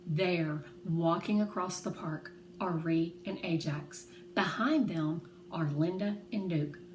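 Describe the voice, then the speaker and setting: A woman reading a children's novel aloud in expressive storytelling narration. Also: on the low side, slow pace. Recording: roomy echo, faint background noise.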